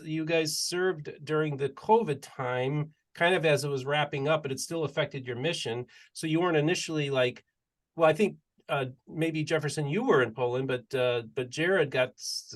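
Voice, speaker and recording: A man hosting a podcast interview, chatty and leading the talk with long, rambling questions. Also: a little high. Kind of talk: casual interview chat.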